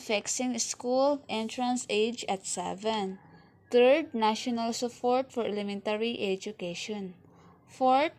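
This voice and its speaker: A young woman with a Filipino accent reads through a slide presentation from a webcam at home, working through lists and trailing off quietly at the ends of lines. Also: on the high side. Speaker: student presenter.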